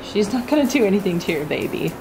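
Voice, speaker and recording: A young woman talks straight into a handheld camera, relaxed and chatty, with easy fillers as she explains things. Her voice sits in a middle register. The farm barn around her adds a clear layer of background noise. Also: medium pitch, fast. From Canada. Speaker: farm vlogger. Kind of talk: casual vlog talk to camera. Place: indoors in a large goat barn with straw pens. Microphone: handheld camera at arm's length.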